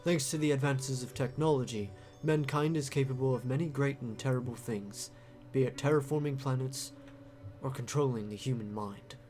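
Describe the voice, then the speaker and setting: A man voicing a composed, superior high-ranking soldier in a visual novel, formal and measured in his speech. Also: a little mellow, faint music behind, lightly acted. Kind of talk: visual novel character dub.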